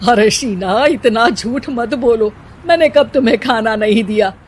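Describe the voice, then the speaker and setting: A woman's voice: fairly high pitch, measured pace, darkish tone, breathy edge. A woman voicing an exasperated, scolding mother-in-law in a cartoon family story, with a nagging, emphatic delivery. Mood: indignant. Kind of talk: animated moral story dialogue.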